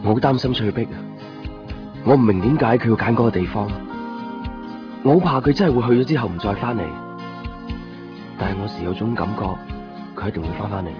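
A man's voice: lowish voice, lively intonation, quick pace, pauses often, lightly acted. A man with a Hong Kong accent playing a stubborn, devoted, desperate young man in a radio drama. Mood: worried, longing. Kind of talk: Cantonese radio drama acting with inner monologue. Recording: muffled 1990s radio recording, music behind. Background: music.

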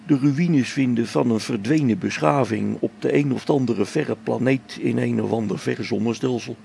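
Radio play: a gravelly male explorer in his middle years, faith shaken, halting delivery dropping to whispers, mid-range voice. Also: mid-range voice, a little hiss or hum. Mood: brooding. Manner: brooding, halting, sinks to hushed whispers. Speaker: log-keeping space expedition member.

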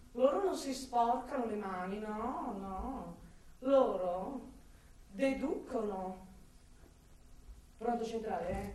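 An actress playing a brusque, sardonic woman forensic police expert in a theatrical radio drama. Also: fairly high pitch. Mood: bitter muttering. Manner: exasperated, sardonic, theatrical, talking at unheard colleagues. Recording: roomy, distant microphone, faint noise.

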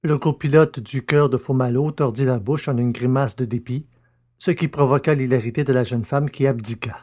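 A male narrator with a medium-pitched voice reads an audio fiction story. His delivery is scripted storytelling, setting out scenes in prose. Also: steady tone, normal speed, darkish tone.

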